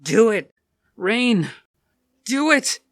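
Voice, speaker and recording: Man playing a blunt, desperate fighting woman, raised pitch. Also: big pitch swings, drawn-out words, full character voice. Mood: urgently insistent. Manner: blunt, urgent, forceful.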